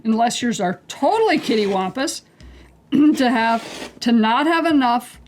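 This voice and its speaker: A woman teaching a quilting technique step by step, calm and unhurried, clearing her throat as she is losing her voice. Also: a little high, slight background noise. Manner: calm, unhurried, talks through each step as she works. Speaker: quilting instructor. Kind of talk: step-by-step craft tutorial.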